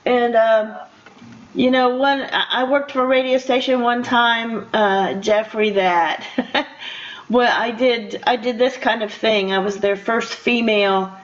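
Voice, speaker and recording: An older woman hosting a casual radio interview in a chatty, conversational way, quick to laugh. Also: on the high side, fairly even intonation. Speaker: radio show host. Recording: dull, lo-fi radio interview audio.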